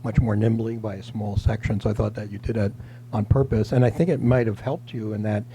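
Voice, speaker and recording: A man moderating a stage conversation, chatty and quick with his questions, on a handheld mic. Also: lowish voice, fairly even intonation, mellow, a little hiss or hum. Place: theater stage before an audience. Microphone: handheld microphone.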